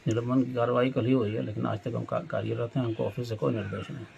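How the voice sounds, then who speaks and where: A man's voice: mid-range voice, steady tone, fast talker, creaky, a little mellow, dull audio. A man with a Bihari accent answering as a local election worker in a news interview. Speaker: booth-level election worker. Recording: faint ambient noise, field interview.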